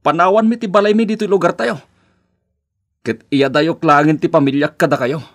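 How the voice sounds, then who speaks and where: A man's voice: on the high side, steady tone, normal speed, a bit bright. Distressed Ilocano family man. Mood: aggrieved, resolute. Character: distressed adult son, devoted husband and father, Lito. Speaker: radio drama actor. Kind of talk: Ilocano radio drama acting.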